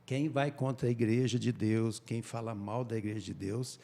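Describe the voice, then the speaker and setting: A man's voice: mid-range voice, fairly even intonation, normal speed, creaky, warm tone. A middle-aged Brazilian man explaining a Bible lesson in conversation, leading his listeners along with rhetorical questions. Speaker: Bible study panelist. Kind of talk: explanatory religious discussion.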